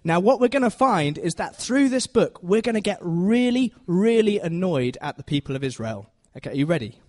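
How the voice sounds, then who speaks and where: Man preaching informally, higher-pitched voice. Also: sing-song, normal speed. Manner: conversational, self-deprecating, checks in with listeners. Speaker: preacher giving a Bible talk to a congregation. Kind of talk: informal teaching sermon.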